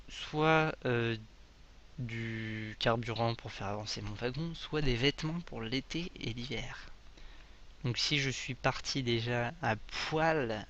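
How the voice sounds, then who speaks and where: A man's voice: medium pitch, fairly bright tone, lo-fi sound, slight background noise. A young man casually commenting on a game as he plays, joking and reading the English on-screen text aloud. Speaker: gaming video creator. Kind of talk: casual let's play commentary.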